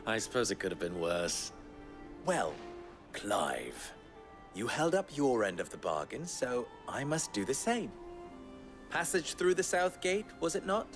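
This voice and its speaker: A sly teasing fixer. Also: male voice, medium pitch, huge pitch swings, takes it slowly, long pauses, over music, exaggerated voice. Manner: dry, teasing, sardonic. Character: wry sly male merchant fixer, teasing. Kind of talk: video game cutscene acting. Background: game score.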